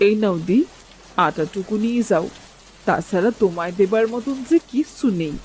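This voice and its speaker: A woman plays a caring, gentle village neighbour in a cartoon tale. Her medium-pitched voice is lively in melody and solicitous. A faint patter of rain sits behind it. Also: normal speed, lightly acted, poor audio. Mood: concerned, kind. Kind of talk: Bengali cartoon story voice acting. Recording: faint noise of falling rain.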